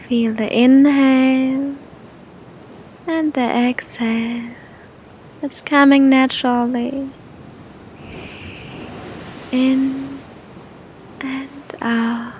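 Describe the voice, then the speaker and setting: A woman guiding a meditation in a gentle, soothing manner, speaking to the listener with long, unhurried pauses. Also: steady tone. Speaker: meditation guide. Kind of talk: guided meditation, spoken softly to the listener. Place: outdoors on a beach. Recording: outdoor recording with low rumbling noise.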